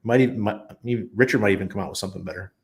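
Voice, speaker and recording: Gravelly low-voiced man hosting a chat stream. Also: rapid-fire. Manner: rambling, thinks aloud with many ums and restarts. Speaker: livestream host answering chat. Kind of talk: casual chat. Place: expat living abroad.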